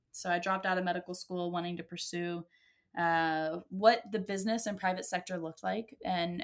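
An American woman, around her thirties, talking conversationally as a co-host, earnest and articulate as she explains in measured phrases. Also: lowish voice. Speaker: podcast co-host and health entrepreneur. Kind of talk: conversational self-introduction. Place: at home, remote call.

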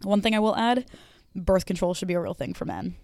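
A young woman hosting a casual solo podcast, rambling and frank with lots of ums and casual swearing, her voice a bit hoarse. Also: mid-range voice, expressive, brisk, darkish tone, no room sound, studio quality. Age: young adult. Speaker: podcast host.

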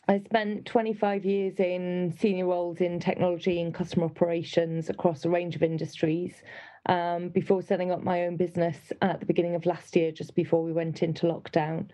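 A woman's voice: lowish voice, warm tone. A middle-aged woman from Northeast England, a business owner, speaking reflectively and articulately as a podcast guest.

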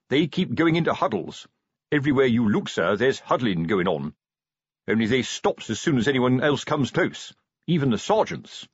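Man acting a folksy, husky, stolid police sergeant. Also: mid-range voice, no echo, muffled recording, pitched above the performer's own voice. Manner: folksy, ungrammatical, plodding deference with frequent "sir". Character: slow, stolid, earnest older police sergeant, deferential. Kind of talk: audiobook character acting.

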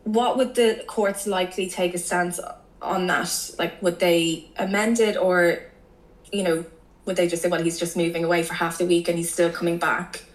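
A woman calling in to ask a family law question, speaking hesitantly with many pauses. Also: fairly low pitch, steady tone. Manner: hesitant, lots of fillers. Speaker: caller. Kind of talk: asking questions on a call-in. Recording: echoey call audio.

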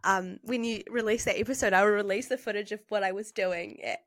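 A woman's voice: medium pitch, fairly quick, a bit bright. A young woman co-hosting a podcast from her university hall room. She sounds tired and is getting over a cough, and she talks in a laid-back, rambling way. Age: young adult. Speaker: podcast co-host. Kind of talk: casual chat.

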